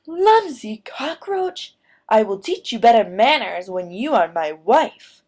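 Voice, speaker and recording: A woman's voice: a little high, big pitch swings, darkish tone, exaggerated voice. A woman voicing a vain male rooster suitor with a hot temper in a storybook, boastful and drawing out the words. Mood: furious. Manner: crooning, boastful, drawn-out words.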